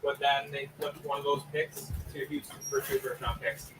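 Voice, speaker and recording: A man's voice: fairly high pitch, wildly swooping pitch, normal speed. A man talking sports casually, heard from away from the microphone. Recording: distant voice in loud noise.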